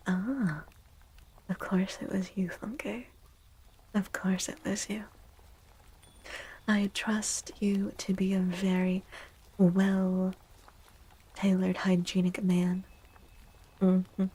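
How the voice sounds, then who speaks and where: A woman chats softly to her stream in an ASMR style. Her voice is breathy and dark with some fry, and her pitch stays fairly flat. She is playful, often dropping to a whisper. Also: slow, long pauses. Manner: soft, hushed, often slipping into whispers; playful, teasing. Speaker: ASMR streamer and tarot reader. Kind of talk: soft-spoken ASMR, casual chat with livestream viewers.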